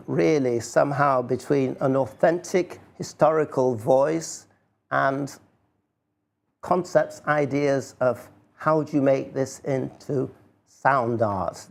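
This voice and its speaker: A man's voice: medium pitch, gravelly. A man giving an informal live talk as a speaker on sound art, hesitant and conversational with wry asides.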